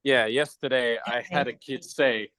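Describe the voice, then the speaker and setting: Bright-voiced English man chatting. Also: medium pitch, steady tone, measured pace, dry recording. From England. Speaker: online English teacher. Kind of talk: casual chat.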